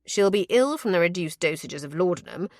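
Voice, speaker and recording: An earnest gentleman physician from a historical audiobook, acted out by a woman. The speech is formal, precise and emphatic, in a lowish, dry-recorded voice. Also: lively intonation, a little fast, dry recording, close to an everyday voice. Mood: earnest, measured. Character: earnest, exacting male physician, scornful of quackery. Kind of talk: audiobook character voice.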